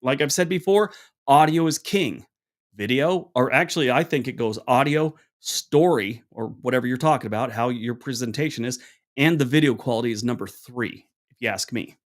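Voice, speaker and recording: A man with a deep voice hosts a casual livestream from a room at home. He rambles warmly through viewer questions, restarting sentences and adding fillers as he goes. He talks into a small wireless clip mic at a medium pitch. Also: normal speed. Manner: acts out both sides of an anecdote with raised pitch. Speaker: livestream host answering chat questions.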